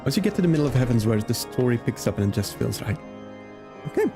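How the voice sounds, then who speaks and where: A man chatting casually as a game streamer, informal and halting as he thinks aloud. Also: medium pitch, sing-song. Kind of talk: casual livestream chat during gameplay. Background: game music.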